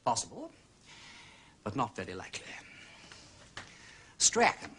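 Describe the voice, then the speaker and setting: An actor playing a jaunty, quick-witted gentleman sleuth with an upper-class English accent and breezy, theatrical phrasing in a period detective drama. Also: lowish voice, huge pitch swings, slight background noise, heavily acted. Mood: wry, musing.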